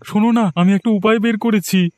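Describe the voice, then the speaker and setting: A man's voice: sits high, steady tone, fairly quick, dry recording, clean studio sound, natural voice. A man voicing a poor, humble village husband in a cartoon story, hesitant and pleading, with music under him. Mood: grateful.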